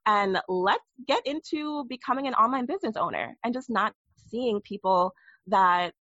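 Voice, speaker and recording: A woman guest on a podcast telling personal stories with animated, playful asides. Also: fairly high pitch, normal speed, no room sound, dull audio. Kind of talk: candid interview conversation.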